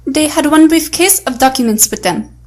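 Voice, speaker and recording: An actress playing a self-assured, obedient infiltrator in an audio adventure drama, crisp and formal. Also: female voice, high voice, expressive, moderate pace.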